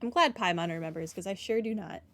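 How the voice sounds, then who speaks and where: A woman's voice: medium pitch, fast talker, a bit bright. A woman streamer giving casual, dry, offhand commentary over a game playthrough. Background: faint game music.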